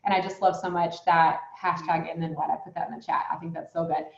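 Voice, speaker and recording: A woman's voice: deep voice, little pitch movement, quick pace, vocal fry, reverberant, muffled recording. A woman in her late twenties hosting an interview, effusive with praise as she asks her questions. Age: late 20s. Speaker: host. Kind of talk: online interview.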